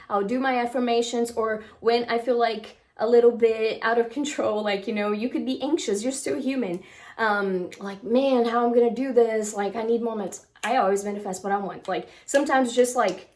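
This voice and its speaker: A woman's voice: fairly high pitch, darkish tone. A woman with a Brazilian accent, a Portuguese speaker, talking casually to camera as a manifestation coach, full of "like" and "um". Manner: chatty, with many "like" and "um" fillers.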